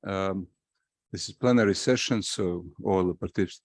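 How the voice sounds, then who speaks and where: A man chairing a conference session, announcing the next speaker in a halting way. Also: deep voice, no echo.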